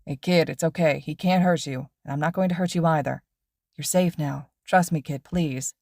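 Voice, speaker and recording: Woman playing easygoing cocky young guy, low and unhurried, dry close recording. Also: fairly even intonation, creaky, a little mellow, dry recording. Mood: soothing. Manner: easygoing, soothing, smug. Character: laid-back cocky young man, protective.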